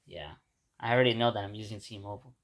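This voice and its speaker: A man's voice: lowish voice, quick pace. A man from Ecuador, a native Spanish speaker, gives a casual spoken reflection to his viewers. He rambles, restarts and repeats words, and keeps addressing them as "guys". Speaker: vlogger.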